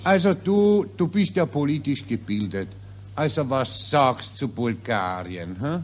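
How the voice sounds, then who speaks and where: A chatty, slow-witted Viennese army officer in 1940s radio style. His voice sits at a medium pitch and swings up and down freely as he bumbles through questions, heard on a vintage radio recording. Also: animated. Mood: muddled, jovial. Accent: Viennese Austrian. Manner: bumbling, questioning, self-correcting. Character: chatty, dim-witted Austrian army officer, easily muddled.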